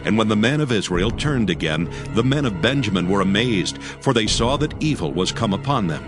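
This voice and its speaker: A man reading scripture as the narrator of a dramatized audio Bible, solemn and measured. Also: on the low side, expressive. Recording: prominent background music. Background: music.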